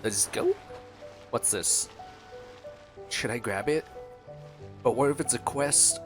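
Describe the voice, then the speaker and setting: A man's voice: medium pitch, takes it slowly. A man giving casual, jokey reaction commentary as a gaming streamer. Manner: jokey, playful. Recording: game music behind the voice.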